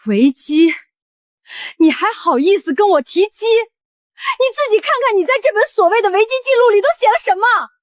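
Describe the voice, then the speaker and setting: A feisty young office heroine from an animated romance drama. Her voice is high and close-miked dry, and she challenges people with indignant, flustered questions. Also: normal speed, dry recording.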